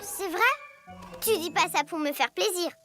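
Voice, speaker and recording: A woman's voice: very high-pitched, normal speed. A woman voicing a petulant, vain young sorceress villainess, theatrical and pouting, in a cartoon dub. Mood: flattered. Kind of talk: animated children's cartoon dub. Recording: loud music under the dialogue.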